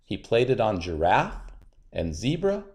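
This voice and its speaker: Animated man reading a children's story. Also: lowish voice, measured pace. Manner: expressive, dramatic repetition and emphasis. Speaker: storybook narrator. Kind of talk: children's read-aloud narration.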